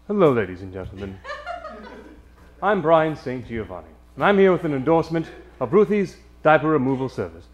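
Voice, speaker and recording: A man playing a hearty, earnest pitchman in a parody old-time radio commercial. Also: sing-song, a little fast.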